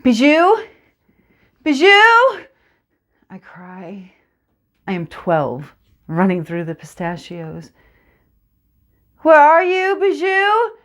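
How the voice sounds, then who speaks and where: A woman performing a monologue as a teenage Kurdish girl, telling her story in a hushed, poetic way. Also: fairly low pitch, steady tone, slow pace. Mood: frantic calling. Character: teenage Kurdish girl, haunted former sniper.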